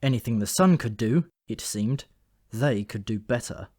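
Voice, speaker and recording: A man's voice: medium pitch, animated, moderate pace, darkish tone, slightly rough, dry recording, clean studio sound. A man narrating a comic fantasy novel as a scripted audiobook reading.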